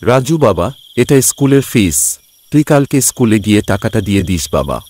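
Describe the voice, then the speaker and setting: A man's voice: lowish voice, sing-song, fairly quick, a little mellow. A man playing a humble, hardworking poor father in a cartoon story, speaking earnestly and reassuringly. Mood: gentle, hopeful. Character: hardworking, self-sacrificing poor labourer father, devoted and humble. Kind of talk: Bengali cartoon story voice acting.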